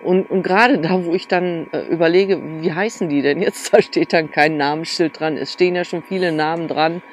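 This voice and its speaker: A woman's voice: on the low side, normal speed. A woman in her fifties chatting casually outdoors as a travel companion, picked up by a handheld camera. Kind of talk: casual chat. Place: outdoors in gardens and town. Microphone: camera at arm's length. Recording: outdoor ambient noise.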